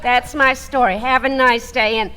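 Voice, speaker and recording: A woman playing an excitable flight attendant who tells her training story theatrically, with deliberate delivery and loud commands. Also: a little high, lightly acted. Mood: earnest, dramatic. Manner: dramatic, deliberate, breaking into loud barked commands. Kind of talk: theatrical first-person storytelling for a radio play.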